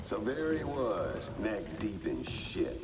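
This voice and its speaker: A man's voice: on the low side, big pitch swings, slow pace. A man playing a crude, brutal, boastful tough guy in a video game cutscene, telling his tale in a coarse, gloating way. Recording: echoey, under loud music.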